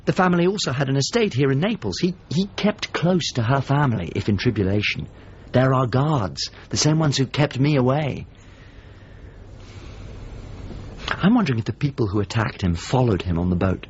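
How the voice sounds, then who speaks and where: An actor playing a painter who wistfully recalls his lost master in a radio drama, speaking in intimate, measured, halting phrases. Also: medium pitch, expressive, muffled recording, a little hiss or hum, close to an everyday voice. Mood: troubled, reflective. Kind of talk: radio drama monologue and dialogue.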